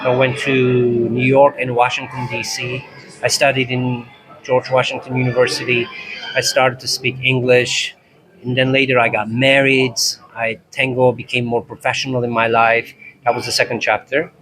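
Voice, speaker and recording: A Turkish-born man in his forties talks about his life in dance. His storytelling is relaxed and reflective, and he acts out past conversations as he goes. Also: mid-range voice, normal speed, soft background music, low-quality recording. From Turkey. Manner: thoughtful, narrates in chapters, acts out dialogue. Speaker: tango instructor, interview guest.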